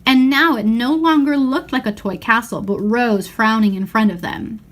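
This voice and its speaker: A woman narrates a children's storybook in a fairly high voice. She reads expressively, shaping each phrase with deliberate care. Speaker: storyteller reading aloud.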